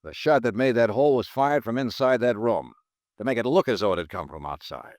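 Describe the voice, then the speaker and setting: An actor playing a sharp male insurance investigator in a 1950s radio drama, narrating in a wry, level manner. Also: mid-range voice, lively intonation, a little fast, no echo, clean studio sound, close to an everyday voice. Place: studio.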